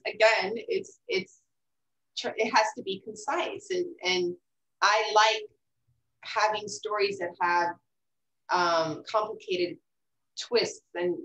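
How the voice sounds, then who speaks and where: A woman's voice: mid-range voice, fairly bright tone. Hesitant woman in an echoey room. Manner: hesitant, repeats words, many fillers. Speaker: guest author. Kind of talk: casual interview chat.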